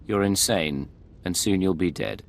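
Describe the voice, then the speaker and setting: A man's voice: low-pitched, unhurried, creaky. A man acting a stern, threatening secret agent in a video game, speaking curtly and dryly. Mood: cold, mocking. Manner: curt, dry.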